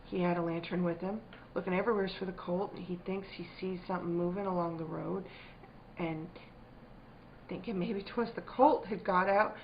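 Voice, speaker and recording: An actress playing a firm but troubled country wife in a spooky radio drama, speaking in plain rural dialect. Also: female voice, fairly low pitch, fairly even intonation, normal speed, slight background noise, close to an everyday voice. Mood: hushed storytelling. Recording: dull, muffled lo-fi recording.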